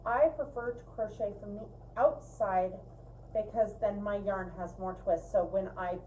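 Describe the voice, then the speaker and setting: A woman's voice: mid-range voice, a little slow, dark tone, a little hiss or hum, low-quality recording. A woman co-hosting a livestream, giving a casual craft tutorial in a chatty, emphatic way. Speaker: co-host of a fiber arts livestream. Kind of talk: casual spinning tutorial chat.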